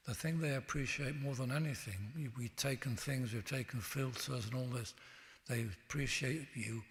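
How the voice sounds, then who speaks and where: A man's voice: mid-range voice, fairly even intonation, creaky. Guy guest chatting in a church, stops and restarts a lot, long echo tail. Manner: halting, with frequent restarts and fillers. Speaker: interview guest. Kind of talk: reflective conversation.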